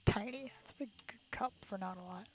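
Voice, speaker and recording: A woman's voice: medium pitch, fairly quick, a little mellow, muffled recording. A woman chatting casually and a little giggly as she livestreams a video game. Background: game music.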